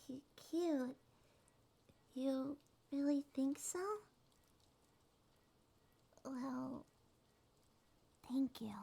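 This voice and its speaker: Woman acting as a shy sweet monster girl succubus, high gentle voice, slow n hesitant, soft breathy asmr roleplay. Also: heavily acted. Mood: flustered, bashful. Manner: hesitant, gentle, slightly stammering.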